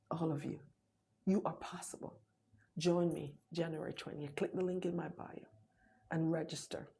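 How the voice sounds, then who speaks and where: A middle-aged Jamaican woman speaks to her live audience with a low, warm, husky voice. She presses her point over and over, sometimes sinking into an intense whisper. Her delivery is an urgent motivational pep talk. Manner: urgent, repetitive, drops to whispers for emphasis.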